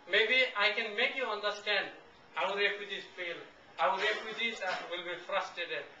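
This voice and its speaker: Young man, earnest halting talk, repeats phrases, echoey room, far off camcorder mic, faint hiss. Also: sits high, sharp tone. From Bhutan. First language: Nepali. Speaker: refugee guest speaker. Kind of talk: public talk. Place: meeting room, indoors. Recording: echoey, distant, dull camcorder audio.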